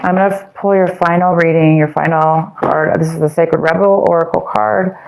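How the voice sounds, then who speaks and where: Rambling tarot-reading woman, lowish voice. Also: steady tone, moderate pace, background noise. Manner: meandering, many fillers and self-corrections. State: losing her voice. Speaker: tarot reader. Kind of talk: casual tarot reading to viewers.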